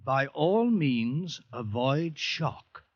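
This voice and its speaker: An actor playing a reassuring but cautioning psychiatrist, deliberate and authoritative, in 1940s radio drama style. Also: male voice, medium pitch, very animated, loud music behind the voice. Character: male psychiatrist, measured, reassuring yet cautioning. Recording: dull vintage 1940s radio recording.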